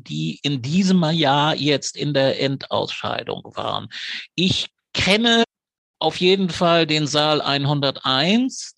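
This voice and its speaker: Higher-pitched, gravelly male host. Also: a bit bright, dry recording. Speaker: podcast host. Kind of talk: conversational interview moderation.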